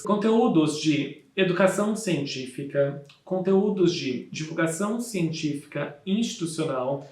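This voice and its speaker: Higher-voiced Brazilian man presenting research, echoey room. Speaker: academic researcher presenting a paper. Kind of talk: formal scholarly presentation.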